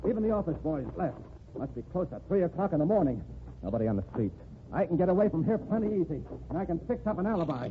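A man's voice: on the high side, very animated, fast. An actor playing a blunt, threatening male gangster boss in an old radio drama, talking tough in clipped street slang. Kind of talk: 1940s radio acting. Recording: tinny old radio broadcast with hiss.

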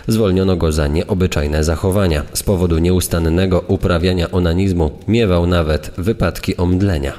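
A man reading old testimony and press reports gravely for a true-crime story, in long reverb. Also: low voice, fairly even intonation, normal speed, few pauses, mellow, lightly acted. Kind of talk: dramatised reading of archive quotes and press reports. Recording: long reverb, faint music.

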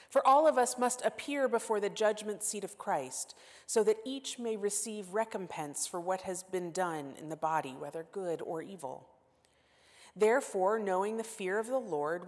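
A woman in her forties preaching as guest pastor at a church pulpit, talking expressively and gesturing. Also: mid-range voice. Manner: warm expressive, gestures while speaking. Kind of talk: sermon and scripture reading. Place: church sanctuary at wooden pulpit. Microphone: gooseneck pulpit mic. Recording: long hall reverb.

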